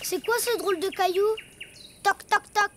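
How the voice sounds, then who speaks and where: A performer voicing a lively, imaginative little boy donkey in a children's cartoon, childlike and playful. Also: very high-pitched, normal speed, background noise. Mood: excited, curious. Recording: loud music behind.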